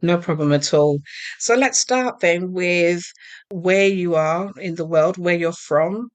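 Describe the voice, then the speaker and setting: A woman hosting a podcast, delivering the intro and questions in a polished, measured way. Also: fairly low pitch, no room sound. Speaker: podcast host. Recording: music playing behind the voice.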